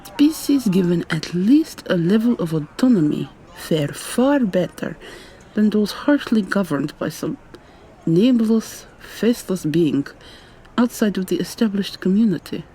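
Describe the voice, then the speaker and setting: Mellow, soft-spoken young vampire student , woman acting. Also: medium pitch, animated, unhurried, slight background noise, close to an everyday voice, low-quality recording. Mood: probing, earnest. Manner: soft-spoken, articulate, questioning. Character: articulate, probing young female vampire student. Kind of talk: ASMR roleplay, intimate conversational acting.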